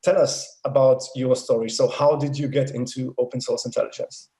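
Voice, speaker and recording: A man hosting a webcast, introducing a guest warmly and repeating words for emphasis. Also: mid-range voice, level tone, normal speed. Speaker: host. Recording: echoey room.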